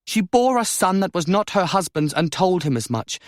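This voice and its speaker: Man doing a countess, imperious, cold, brittle, raised pitch, dry close sound. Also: expressive, moderate pace, no room sound. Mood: commanding. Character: imperious commanding noblewoman, a countess.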